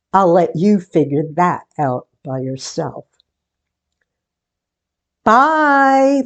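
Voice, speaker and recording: A middle-aged woman playing a wry, chatty bookish woman, musing and deliberate in a theatrical radio-play monologue. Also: mid-range voice, darkish tone. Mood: patient, exasperated. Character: wry, chatty grown woman running a book exchange.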